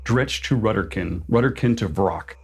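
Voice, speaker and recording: A man giving a scripted, explanatory narration as a lore channel host, in a measured, deliberate way with emphatic pauses on lists. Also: on the low side, gravelly, husky.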